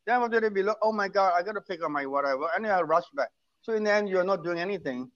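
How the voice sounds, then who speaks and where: A man's voice: sits high, expressive, very fast, fairly bright tone. A man in his sixties, talking casually and emphatically, often repeating his points. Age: 60s. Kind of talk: casual chat. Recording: muffled lo-fi audio.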